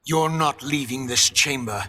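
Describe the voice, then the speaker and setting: An actor voicing a defiant, anguished undead wraith warrior in a video game, bitter and forceful with a raspy voice. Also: male voice, medium pitch, a little slow. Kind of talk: dramatic video game acting. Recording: long cavernous reverb.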